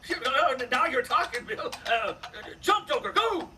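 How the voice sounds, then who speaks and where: A man playing a fat, excitable, folksy cowboy deputy sidekick in a Western radio drama. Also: very high voice, a little slow, heavily acted. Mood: excited. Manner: folksy, exclamatory, stammering. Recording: distant stage microphone, noisy room.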